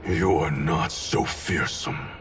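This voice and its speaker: An actor playing a proud, ambitious clan leader in a video game, cold, scornful, formal and commanding. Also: male voice, very deep voice, steady tone, takes it slowly, noisy background. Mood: stern, commanding. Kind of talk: video game cutscene acting. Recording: distant voice under loud game music.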